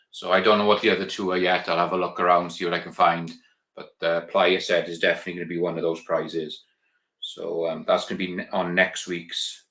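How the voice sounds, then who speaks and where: A man's voice: low-pitched, sharp tone, echoey room. A Welsh man hosting a casual live stream, chatting and rambling to viewers he calls guys. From Wales. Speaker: channel host.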